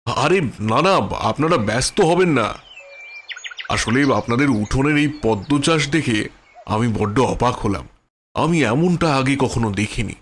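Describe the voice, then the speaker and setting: A man voicing a courteous, brisk city flower merchant in a cartoon, politely explaining himself. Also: mid-range voice, expressive, slight background noise, close to an everyday voice. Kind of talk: cartoon fairy-tale voice acting.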